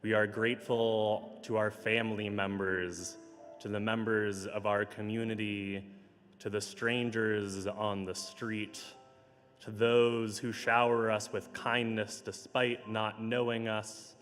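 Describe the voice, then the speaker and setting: A hoarse man serving as minister, reading pastoral care notes and leading a prayer in a slow, measured, gentle way, recorded for a church livestream. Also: fairly low pitch, level tone, faint music behind. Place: large church sanctuary. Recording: livestream with long hall reverb.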